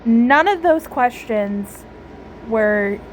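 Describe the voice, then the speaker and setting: A woman giving casual reaction commentary on a video game, halting as she thinks aloud. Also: a little high, expressive, rough recording. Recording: background noise under commentary.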